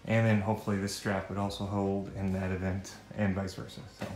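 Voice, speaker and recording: A middle-aged man giving casual, halting, unscripted how-to narration while thinking aloud. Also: low voice, level tone, darkish tone. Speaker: vlogger demonstrating a DIY setup. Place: indoors in a cluttered home garage. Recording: echoey garage, faint music.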